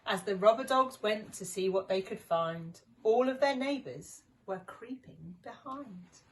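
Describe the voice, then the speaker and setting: A woman's voice: mid-range voice, expressive, unhurried, poor audio. A woman reading a children's rhyming story with dramatic, rhythmic expression. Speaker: deputy headteacher reading a story.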